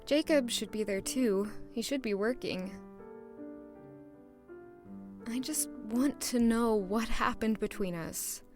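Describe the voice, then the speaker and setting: A woman's voice: a little high, measured pace, over music, lightly acted. A woman playing a shy, nervous but hopeful young commoner who narrates her own story in an audio drama. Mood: anxious, resolved.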